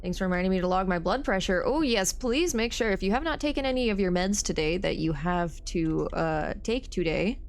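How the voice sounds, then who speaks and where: A young adult woman streaming a horror game, chatting casually, excitable and swearing often. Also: medium pitch, brisk, hardly any pauses. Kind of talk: casual gameplay chat.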